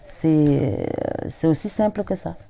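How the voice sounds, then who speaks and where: Slow, halting Cameroonian French woman. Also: low-pitched, lively intonation, gravelly, mellow. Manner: halting, many repetitions and restarts. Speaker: regional councillor, guest. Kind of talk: TV studio interview. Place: TV studio set.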